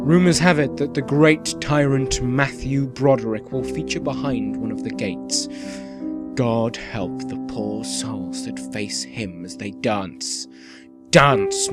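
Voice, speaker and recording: A man playing a cheerfully sinister radio host of a dystopian town, with theatrical, measured delivery and dramatic pauses in a scripted radio play. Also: medium pitch. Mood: sinister, solemn. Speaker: radio presenter. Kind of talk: scripted radio play in the manner of an eerie community radio show.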